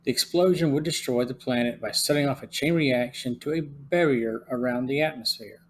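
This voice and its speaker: A man of middle pitch reads a prepared script for his podcast. His delivery is steady and even, like someone working carefully through notes. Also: moderate pace, slight background noise. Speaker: podcast host. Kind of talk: scripted episode review.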